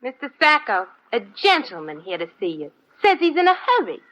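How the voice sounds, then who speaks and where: Curt receptionist, high voice, clipped, old radio play. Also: female voice, wildly swooping pitch, a little fast, a bit bright, heavily acted, poor audio. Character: curt female office receptionist. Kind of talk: 1940s radio acting.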